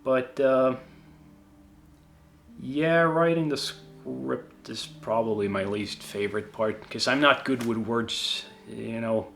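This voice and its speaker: A Swedish man with an accent, a YouTube creator casually answering viewer questions, halting and self-deprecating with many restarts. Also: mid-range voice, creaky, a bit bright, soft background music. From Sweden.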